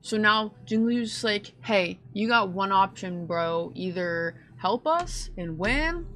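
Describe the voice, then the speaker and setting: A young woman streamer giving casual, slangy, joking reaction commentary on a game in an excitable way. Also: medium pitch, takes it slowly, soft background music.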